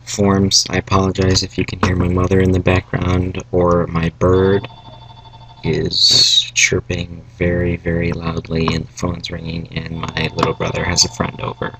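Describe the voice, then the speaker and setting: A young man with a low, flat voice that sounds a little raspy, slowly and haltingly talking through a coding tutorial full of asides. Mouse clicks and keyboard taps sit under his voice along with a faint household hum. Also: rough recording. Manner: rambling, halting, with fillers and asides. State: slightly raspy from salty food. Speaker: tutorial maker. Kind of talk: casual screen-recorded coding tutorial. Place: home room, family noise around.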